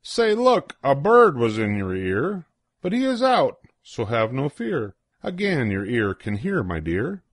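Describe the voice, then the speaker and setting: An older man reading a children's picture book aloud in an expressive, sing-song way with deliberate pauses. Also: medium pitch, no echo, studio quality. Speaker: storybook reader.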